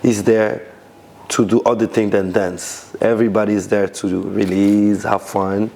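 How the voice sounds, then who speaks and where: Man, low voice, gravelly, rambling restarts, casual interview. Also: flat delivery, normal speed, mellow. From Senegal, raised in France. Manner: loose, searching phrasing with many restarts. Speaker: dancer, DJ and event founder.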